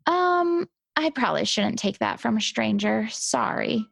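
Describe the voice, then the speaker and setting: A woman podcast host with a high voice and noticeable vocal fry. She talks casually with lively intonation in a dry recording. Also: unhurried, a little hiss or hum. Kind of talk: casual chat, light improv.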